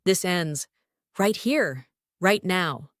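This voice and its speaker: A woman's voice: fairly low pitch, animated, very slow, no room sound, clean studio sound. A woman acting as a determined, defiant young heroine in a thriller audio drama.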